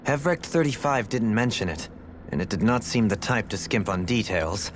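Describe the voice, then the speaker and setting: A man's voice: fairly low pitch, expressive, moderate pace, a little hiss or hum, close to an everyday voice. An actor playing a composed, analytical male companion in a video game, precise, polite and articulate. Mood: analytical, grave.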